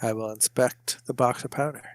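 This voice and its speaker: A man's voice: medium pitch, steady tone, normal speed, background noise. A man taking part as a player in a tabletop roleplaying game, casually saying what his character does.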